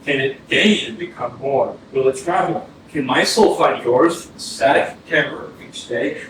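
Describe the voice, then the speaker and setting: A yearning seeker acted by a man speaks poetically and questioningly in a stage monologue. His fairly high male voice comes through a very echoey hall with clear noise. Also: distant mic, close to an everyday voice. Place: arts center stage, distant mic.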